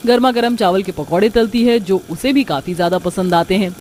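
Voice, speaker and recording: A woman's voice: medium pitch, brisk, darkish tone. A woman reading scripted narration for a children's cartoon story.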